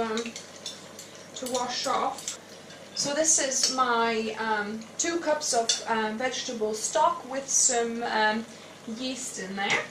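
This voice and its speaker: A woman in her thirties talks through a kitchen demonstration in a casual, slow-paced way. The room rings noticeably around her voice, which sounds a little away from the microphone. She is the host, explaining each step as she goes. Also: fairly high pitch. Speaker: cooking show host. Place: indoor home kitchen. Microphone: clipped lapel microphone. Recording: echoey room, slightly distant, faint noise.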